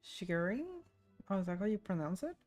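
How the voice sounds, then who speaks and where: A woman's voice: on the low side, expressive, normal speed, music in the background. A woman streaming a game, musing aloud in a casual, informal commentary.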